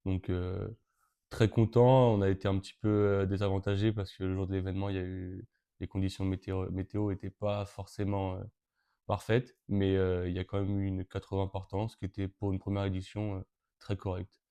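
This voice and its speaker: Young man from Brittany, late teens, flat low delivery, halting with many restarts. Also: clean tone. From Brittany, France. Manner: hesitant, frequent fillers and restarts. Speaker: interviewee, event co-organizer. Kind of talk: casual interview answers.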